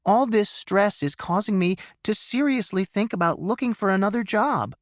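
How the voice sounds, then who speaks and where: A man's voice: high voice, normal speed, no echo, studio quality, pitched above the performer's own voice, close to an everyday voice. A male narrator voicing a stressed young adult daughter who talks in an earnest, worried way, in an audiobook. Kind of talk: audiobook character voice.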